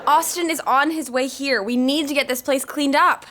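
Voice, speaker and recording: A woman's voice: high voice, moderate pace, a bit bright, some chatter behind. A woman playing a frantic, bossy young woman in a sitcom, urgent and exasperated.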